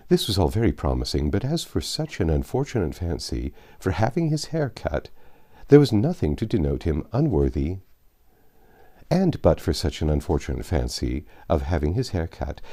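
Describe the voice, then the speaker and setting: A man narrating a classic novel aloud as an audiobook reader, with measured, expressive literary phrasing. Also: low-pitched.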